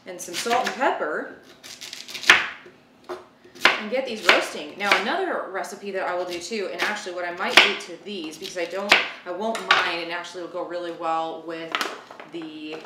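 A woman's voice: on the low side, normal speed, heavy background noise. A woman in her thirties hosting a casual cooking demonstration, talking informally and thinking aloud as she works. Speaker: cooking show host. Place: home kitchen, indoors. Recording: roomy, distant mic, loud kitchen clatter. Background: chopping, clicks, pans clattering.

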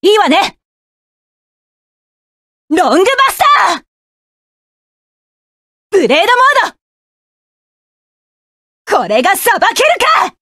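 A woman's voice: very high-pitched, sing-song, slow pace, pauses often, dry recording, clean studio sound, exaggerated voice. An actress voicing a fierce, cold young female mecha pilot in a game, giving curt, commanding battle lines. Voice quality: sharp, commanding.

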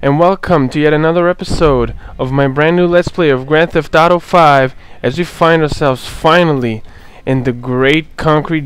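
A man hosting a let's play, chatting casually over gameplay, hoarse from a sore throat, laughing often. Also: medium pitch.

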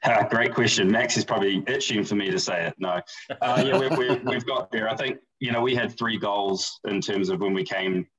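A man, a baseball team manager, answering questions on a video call in a measured way, often repeating words as he thinks. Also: fairly low pitch, fairly bright tone. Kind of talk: casual interview on a video call. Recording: muffled video call audio.

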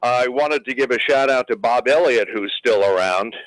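A man calling in to a radio show, eagerly giving shout-outs as an enthusiastic fan. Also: medium pitch, moderate pace, no echo, low-quality recording. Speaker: caller. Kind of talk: phone-in call.

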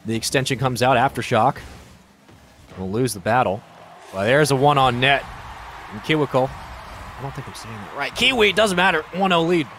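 Relaxed male esports co-caster. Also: medium pitch, moderate pace, long pauses, a little hiss or hum. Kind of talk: esports color commentary.

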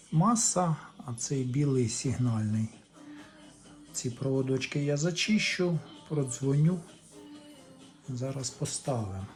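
A man gives casual step-by-step repair commentary as a hobbyist, thinking aloud in an unhurried, tentative way. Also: mid-range voice, darkish tone. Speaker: hobbyist repairer presenting a DIY video.

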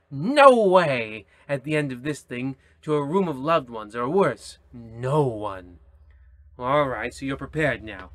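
A man's voice: mid-range voice, fairly bright tone, dry recording, close to an everyday voice. A man playing a theatrical, rambling, petty host who narrates a mock old-time spooky radio show. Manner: theatrical, digressive.

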